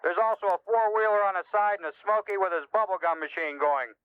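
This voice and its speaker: A brisk, helpful male trucker talks in clipped CB slang. His high voice is clear and dry, squeezed through a radio in a scripted demonstration. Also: dry recording. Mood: alert, businesslike. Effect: CB radio filter. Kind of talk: scripted CB radio role-play. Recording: tinny band-limited CB radio.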